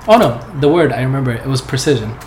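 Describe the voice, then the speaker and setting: A young man, a software developer whose first language is not English, chatting casually as a podcast guest in a loose, rambling way with lots of fillers. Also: mid-range voice, fast. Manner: relaxed, rambling, laughs often, many "like"s. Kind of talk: casual interview chat. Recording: echoey room with background noise.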